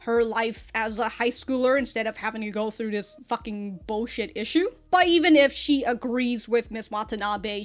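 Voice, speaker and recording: A woman giving a casual, animated episode review as a reaction host, with slangy, sweary talk. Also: mid-range voice.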